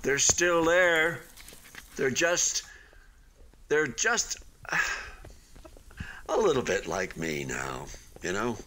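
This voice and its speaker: An actor in a radio play voicing an unfinished hotel building, a wistful but stubbornly hopeful man who talks in a halting, conversational way. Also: mid-range voice, big pitch swings, bright, forceful tone, a little hiss or hum, full character voice. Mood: wistful, subdued. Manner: halting, conversational, with sighs and emphatic swings.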